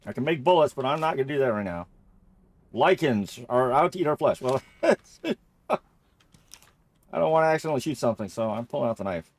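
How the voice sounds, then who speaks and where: A man's voice: mid-range voice, expressive, brisk, long pauses, dry recording. A man giving casual video game playthrough commentary, jokey and thinking aloud as he goes.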